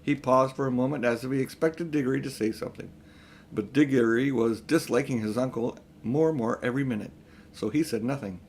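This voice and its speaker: A man's voice: mid-range voice, fairly quick, a little mellow, slight background noise. An older man reading a children's story aloud as scripted audiobook narration.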